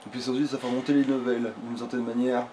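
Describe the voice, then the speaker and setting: A man's voice: medium pitch, quick pace. A man chatting casually as he plays a video game, thinking aloud with slang and swearing. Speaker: video game let's player at the controls. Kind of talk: casual gaming chat, commentary while playing. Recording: steady background noise, amateur gameplay recording.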